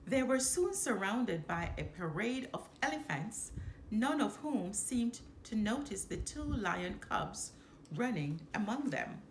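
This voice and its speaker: A woman's voice: medium pitch, big pitch swings, slow. A woman storyteller reading a children's book aloud expressively. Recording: roomy echo, background noise.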